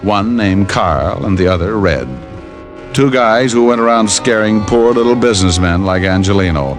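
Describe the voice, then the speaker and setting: An American actor playing a wisecracking, tough private detective in an old-time radio drama, quipping in a wry, deadpan way as he narrates and trades lines. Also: fairly low pitch, moderate pace, lightly acted. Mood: brisk, matter-of-fact. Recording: old radio recording with music.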